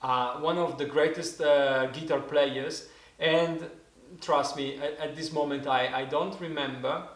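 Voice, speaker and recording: Slow, halting Italian man in his forties. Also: a little high, fairly even intonation, echoey room. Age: 40s. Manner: halting, searching for words, many restarts. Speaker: didgeridoo teacher. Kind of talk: casual spoken lesson.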